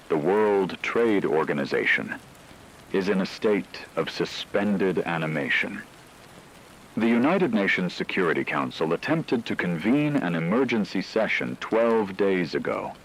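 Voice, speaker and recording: A man playing a grave radio newsreader in a fictional broadcast, reading scripted reports in a formal, measured, solemn way. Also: low-pitched, fairly bright tone, slightly rough, full character voice, low-quality recording. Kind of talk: scripted news narration.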